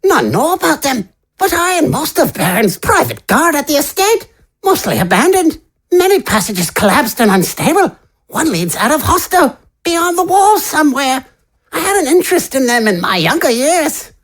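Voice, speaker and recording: Squeaky, high-voiced old librarian. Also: male voice, sing-song, normal speed, a bit bright, exaggerated voice. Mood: explanatory, wary. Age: older. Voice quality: squeaky, shaky. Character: frail, nervous old male librarian. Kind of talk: fantasy audio drama.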